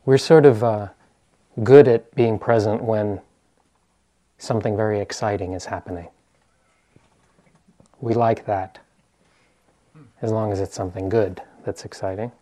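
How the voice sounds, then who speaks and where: An American man from the East Coast giving an informal meditation talk as a teacher, hesitant and often pausing to search for words. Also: on the low side, steady tone, dark tone.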